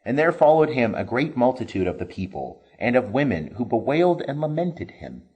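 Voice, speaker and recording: A man reading scripture aloud as a scripted narration, solemn and expressive in delivery. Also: medium pitch, brisk, husky, rough recording. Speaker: scripture reader. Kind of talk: dramatic scripted Bible reading.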